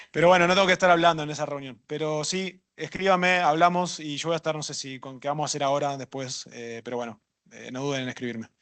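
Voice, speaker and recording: A man answering questions at a workshop, relaxed and thinking aloud with small jokes. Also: a little high, fast talker. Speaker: workshop instructor. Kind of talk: casual lecture Q&A.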